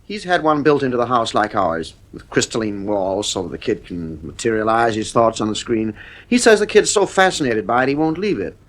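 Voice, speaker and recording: Man playing a lively, dismissive husband and father. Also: mid-range voice, fairly quick, natural voice. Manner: casual, reassuring, brushing worries aside. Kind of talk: radio drama acting.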